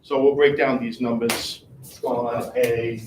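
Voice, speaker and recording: Male meeting leader, brisk and casual, roomy room with faint noise. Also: medium pitch, flat delivery. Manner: reads rankings out in a brisk list, then chats casually. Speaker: sales team leader. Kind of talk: meeting in front of a group.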